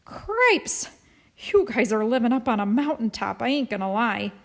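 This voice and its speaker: A woman's voice: fairly high pitch, animated, moderate pace, a little mellow. A woman voicing an eccentric, kindly older neighbor lady in an audiobook, with talkative, colloquial, folksy phrasing. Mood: breathless, jovial. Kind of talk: audiobook character voice.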